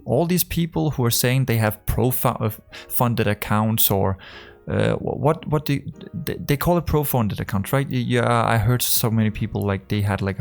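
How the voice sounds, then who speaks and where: A man's voice: fairly low pitch, creaky. A man from Denmark with a strong Danish accent, talking casually to camera as a trading coach, rambling with many fillers and restarts. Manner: rambling, full of fillers and restarts, acts out conversations.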